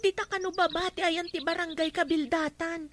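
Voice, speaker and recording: Woman doing a squeaky wary young ilocano girl, super high voice, radio comedy drama, bit of noise. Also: fairly even intonation, normal speed, fairly bright tone.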